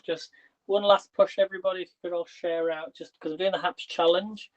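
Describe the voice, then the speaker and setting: A slightly high-voiced man chats casually to viewers while tidying his kitchen, his voice wearing thin. He rambles and restarts his questions. The sound is dull and lo-fi, like livestream audio. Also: fairly even intonation, fairly quick. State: losing his voice. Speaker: livestream host. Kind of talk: casual live-stream chat.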